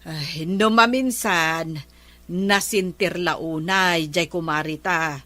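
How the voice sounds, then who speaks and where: Woman acting as bright-voiced, slow Filipino village gossip. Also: on the low side, dry recording. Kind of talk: comedy radio drama acting.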